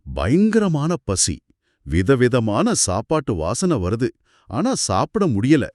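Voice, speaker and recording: A man's voice: mid-range voice, wildly swooping pitch, takes it slowly, darkish tone, no echo, studio quality. A man co-hosting a scripted conversation, inquisitive and reflective as he questions and sums up. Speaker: podcast co-host asking questions and summing up.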